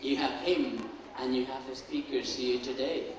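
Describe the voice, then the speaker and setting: A man moderating a school assembly, slow and deliberate as he calls on people to ask questions. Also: medium pitch, fairly even intonation. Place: school auditorium. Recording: echoey hall from far off, noisy.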